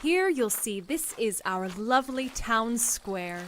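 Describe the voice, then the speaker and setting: An actress playing an assured, gracious and commanding town mayor in an audio drama, speaking with poised formality. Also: female voice, fairly high pitch, expressive, slow, a bit bright, slight background noise. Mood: gracious, proud.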